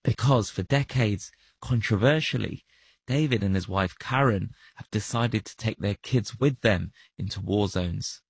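A man, interviewing and narrating in a measured, gently probing way. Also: lowish voice, gravelly, husky, no room sound. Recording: dry studio voice-over with soft music.

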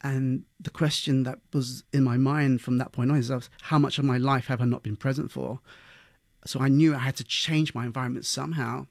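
A man's voice: medium pitch, steady tone, brisk, creaky, no room sound. British man telling his story, dry studio. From London, England. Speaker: interview guest. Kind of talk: conversational personal storytelling.

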